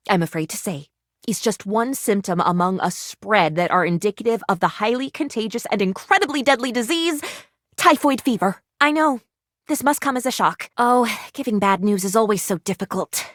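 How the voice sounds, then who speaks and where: An animated, fretful young-doctor type. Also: female voice, mid-range voice, moderate pace, dry recording, studio quality. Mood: worried, grave. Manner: quaint, theatrical, fretful, eager, wordy. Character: earnest, anxious, bookish female would-be doctor, excitable and old-fashioned. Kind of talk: video game voice acting.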